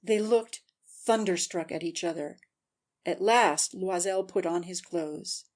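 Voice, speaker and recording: A woman's voice: lowish voice, takes it slowly, a little mellow. A woman narrator giving a scripted, dramatic audiobook reading.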